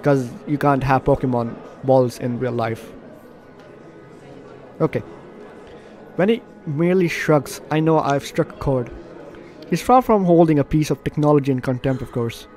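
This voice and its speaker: A man's voice: medium pitch, moderate pace, long pauses, darkish tone. A man doing let's play commentary, reading game narration aloud with jokey asides and stumbles over words. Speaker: gaming video host.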